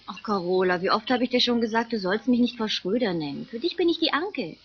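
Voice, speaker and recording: A friendly, frank mother in a radio play. Her voice is fairly high and slightly husky, with lively swings in pitch, on a dull recording. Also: brisk. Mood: warm, teasing. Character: friendly, frank mother explaining things to children. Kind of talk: scripted radio play dialogue.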